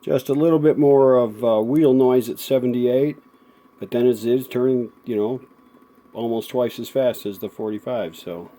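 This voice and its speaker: A man giving a casual hands-on repair demonstration as a show host, thinking aloud in a folksy, unhurried way. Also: mid-range voice, fairly even intonation, mellow. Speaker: host of a vintage audio repair channel.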